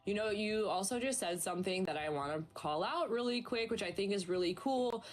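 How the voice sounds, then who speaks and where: A woman's voice: mid-range voice, lively intonation, a little fast, faint music behind. A woman casting an esports stream, chatting in a bubbly, upbeat way with her co-caster. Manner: bubbly, chatty, promotes merch. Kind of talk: casual esports stream commentary.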